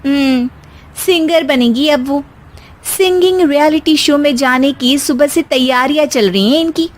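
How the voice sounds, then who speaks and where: Woman acting an exasperated, high-voiced young daughter-in-law. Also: measured pace. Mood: wry. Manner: pleading, wry. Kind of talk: comic Hindi family story acting.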